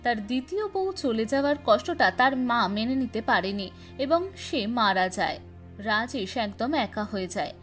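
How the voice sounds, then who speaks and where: Female cartoon storyteller, high-pitched and expressive, scripted fairy-tale narration. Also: unhurried, faint music behind.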